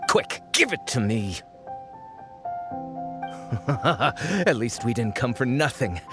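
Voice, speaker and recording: A man's voice: medium pitch, big pitch swings, slow pace, over music. A man playing a greedy, scheming archaeology researcher in a video game, blustering and theatrical in his delivery. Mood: greedy glee.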